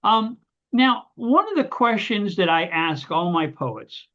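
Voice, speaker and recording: A man's voice: high voice, sing-song, slow pace, sharp tone. A man hosting an interview, asking long friendly questions that ramble and restart. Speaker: interview host. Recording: dull lo-fi audio.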